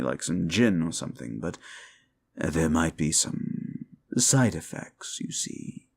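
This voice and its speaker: A man playing a cruel, sneering demon in an ASMR roleplay, sardonic and condescending, with a gravelly voice. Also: sits low, full character voice. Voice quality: gravelly, creaky. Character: cruel, sneering male demon bargaining for wishes. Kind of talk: scripted ASMR roleplay addressed to the listener.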